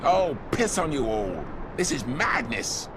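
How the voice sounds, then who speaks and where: Highly animated, sly soldier. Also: male voice, slow, heavy background noise. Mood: exasperated. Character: sly, whining, argumentative soldier and traitor. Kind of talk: dramatic fantasy game acting. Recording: music behind the voice.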